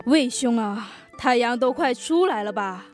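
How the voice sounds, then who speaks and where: Teenage girl playing idealistic male soldier, fairly high voice, earnest recital, radio drama, music underneath. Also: animated, measured pace. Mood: reflective.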